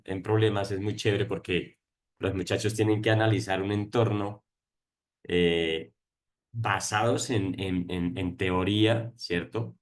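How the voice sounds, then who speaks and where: Deep-voiced hesitant man. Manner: hesitant, repeats words. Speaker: university teacher. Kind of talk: online class discussion.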